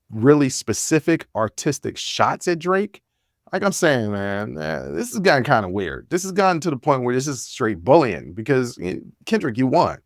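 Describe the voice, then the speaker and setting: A man's voice: mid-range voice, no echo, clean studio sound. A man in his forties hosting a podcast, talking in a candid, rambling, laid-back way with plenty of fillers and restarts. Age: 40s. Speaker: podcast host. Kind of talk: casual solo monologue. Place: Washington, D.C.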